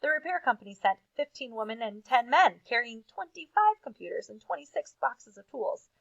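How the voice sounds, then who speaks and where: A woman reading aloud to children as a teacher, with expressive emphasis and casual asides. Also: on the high side, normal speed, gravelly, dry recording, highs cut off.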